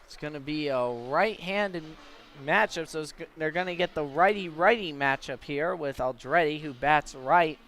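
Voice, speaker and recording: A man working as a play-by-play announcer on a live radio baseball broadcast, with measured calls that turn emphatic on the action. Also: fairly high pitch, fairly bright tone. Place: at a baseball game.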